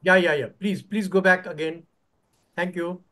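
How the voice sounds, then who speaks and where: A man's voice: high voice, steady tone, normal speed, fairly bright tone. An older man, casually coordinating an online session on a video call. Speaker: co-host moderating online session.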